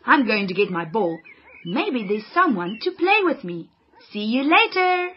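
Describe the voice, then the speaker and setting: A woman's voice: on the high side, big pitch swings, unhurried, lo-fi sound, slight background noise. A woman voicing a cheerful, healthy girl who greets the viewers and chats to them directly. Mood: chirpy. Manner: chirpy, addresses viewers directly.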